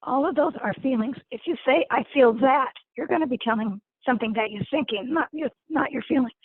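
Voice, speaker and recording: A woman, as a guest facilitator, speaks gently to an online group and often repeats words as she searches for them. Her voice is hoarse. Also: sits high, a little fast, dry recording, narrow, tinny audio. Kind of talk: informal lecture to an online group.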